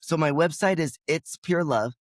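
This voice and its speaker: A man's voice: a little high, moderate pace, no echo, studio quality. A man who is a podcast guest, speaking in an emphatic, passionate way and now and then acting out his own inner voice. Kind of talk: energetic interview talk.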